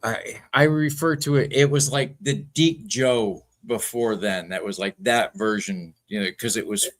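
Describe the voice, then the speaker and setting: A man's voice: medium pitch, measured pace. A man hosting a toy-collecting podcast in laid-back casual chat, swearing freely. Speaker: podcast host.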